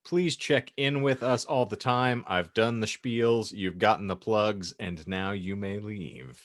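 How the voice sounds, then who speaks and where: A man's voice: on the low side, measured pace, dry recording. A man co-hosting a casual comedy podcast, talking in wordy, mock-grandiose phrases. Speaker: podcast co-host.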